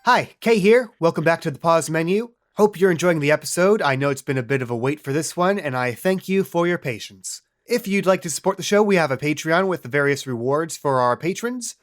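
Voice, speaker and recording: Casting: male game master and podcast host, fairly high voice, casual conversational narrator. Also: expressive, fairly quick, few pauses.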